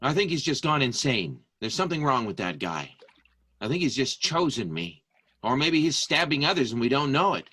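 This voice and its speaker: A man's voice: mid-range voice, very animated, moderate pace, sharp tone, husky, full character voice. A man playing a nervous, needy client in an improvised radio soap. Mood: nervous, familiar.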